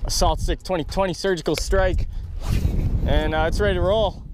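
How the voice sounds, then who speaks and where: A man in his thirties hosting a fishing vlog from a small boat on a windy lake, chatty and enthusiastic, recorded on an action camera. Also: high voice. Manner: enthusiastic, chatty, quick bursts of excitement. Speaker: fishing channel host. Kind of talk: excited outdoor fishing vlog.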